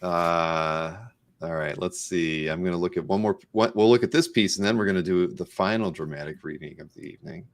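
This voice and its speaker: A man's voice: sits low, a little fast. A man hosting a live interview show in a casual, chatty style.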